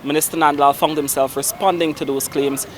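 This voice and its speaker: A man's voice: on the high side, little pitch movement, fairly quick. Male reporter, faint traffic behind. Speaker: news reporter. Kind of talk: news report from parliament.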